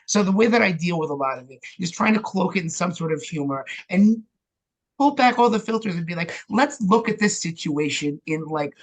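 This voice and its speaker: A man's voice: high-pitched, lively intonation, a little fast, low-quality recording. Candid man. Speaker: interview guest, theatre director and playwright. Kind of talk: casual conversational interview.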